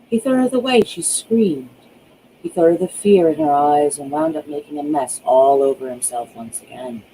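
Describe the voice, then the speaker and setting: Gravelly, mellow narrator reading horror. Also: mid-range voice, a little hiss or hum, rough recording. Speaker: author reading own book aloud. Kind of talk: dramatic audiobook narration of a horror thriller.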